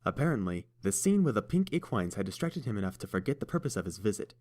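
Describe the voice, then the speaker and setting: A man's voice: fairly low pitch, big pitch swings, a little mellow. Man narrating a fan audiobook. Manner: measured storytelling. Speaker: audiobook reader.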